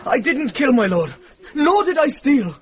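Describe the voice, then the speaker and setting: An actor playing a frightened but honest young Welsh fugitive in a radio drama, pleading emotionally and haltingly. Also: male voice, extremely high pitch, sing-song, full character voice.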